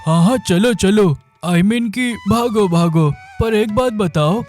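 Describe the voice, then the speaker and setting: A naive, food-loving sidekick thief in a cartoon, voiced by a man. His voice sits very high and sounds eager and whiny. Faint music plays underneath. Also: takes it slowly, darkish tone. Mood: silly.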